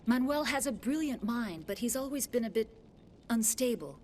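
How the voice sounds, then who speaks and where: A woman playing an earnest, composed scientist in a video game, speaking in a measured, articulate way. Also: on the high side, lively intonation, slight background noise, close to an everyday voice. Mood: soothing. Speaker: scientist in charge of an excavation. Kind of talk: dramatic video game dialogue. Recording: loud game music under dialogue. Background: music.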